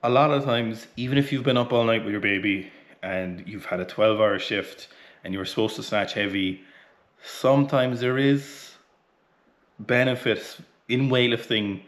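A man's voice: mid-range voice, steady tone, normal speed. A man in his thirties with an Irish accent, chatting bluntly about weightlifting between sets in a small home gym, sweaty from training. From Ireland. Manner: blunt, chatty, swears casually. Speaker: weightlifting coach. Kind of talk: casual training vlog talk. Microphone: camera close in front.

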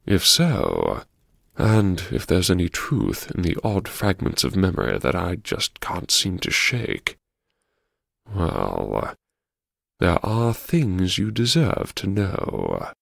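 An actor playing a genteel, troubled gentleman diarist, narrating an audiobook in a mannered, hesitant, politely formal and wryly confessional way. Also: sits low, wildly swooping pitch, slow, vocal fry, no room sound, studio quality, exaggerated voice. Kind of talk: first-person dramatic audiobook narration.

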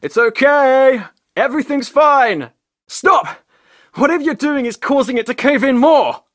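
A man's voice: very high voice, a little slow, fairly bright tone, no echo, clean studio sound. A man with a British accent voicing a sarcastic, cash-strapped young tour guide in a video game. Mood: panicked. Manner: sarcastic, joking. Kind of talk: video game character voice lines.

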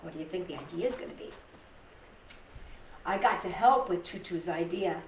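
A middle-aged woman reading a picture book aloud to children, with dramatic pauses and chatty asides to her listeners. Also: lowish voice. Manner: animated, dramatic pauses, addresses young listeners. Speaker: storytime host reading picture books to children. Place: indoors in a living room. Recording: roomy, distant mic with background noise.

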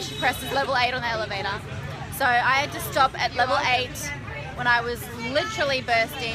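A young woman in her twenties chatting bubbly and casually into a phone held at arm's length, laughing often while out at night. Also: high-pitched. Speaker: vlogger. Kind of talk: casual travel vlog. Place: busy outdoor restaurants and streets at night. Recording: loud crowd around the phone mic. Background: crowd chatter.

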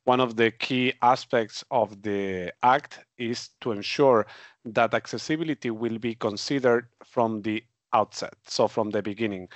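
A man from Spain giving a deliberate prepared statement about policy into a headset. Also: mid-range voice, dry recording. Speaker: policy director.

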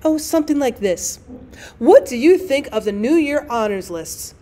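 Woman reading as a tart, weary, high-voiced wife. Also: sing-song, moderate pace, dark tone. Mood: exasperated. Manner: wry, formal literary phrasing.

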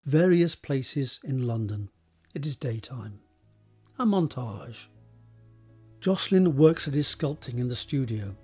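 Husky man narrating a screenplay. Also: medium pitch, darkish tone. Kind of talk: scripted narration of stage directions.

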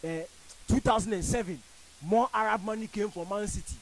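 A man's voice: high voice, fairly quick, rough recording. A man with a Ghanaian accent, arguing football as a panelist on a radio studio show, emphatic and theatrical. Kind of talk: animated studio debate. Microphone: studio boom mic with headphones.

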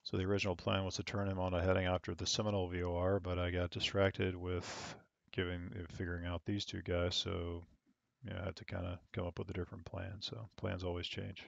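Man, young adult, air traffic controller calls, calm thinking-aloud manner, low gravelly voice, dry lo-fi sound. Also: brisk, few pauses, no echo. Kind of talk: radio calls and casual explanation. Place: home room at a desk. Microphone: headset.

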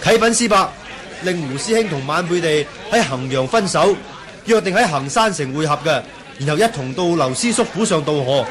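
An actor playing a young martial-arts disciple in a Hong Kong Cantonese radio drama, respectful, formal and pleading. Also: male voice, fairly high pitch, expressive, moderate pace, lightly acted. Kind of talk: 1980 radio drama acting. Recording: old radio drama with crowd behind.

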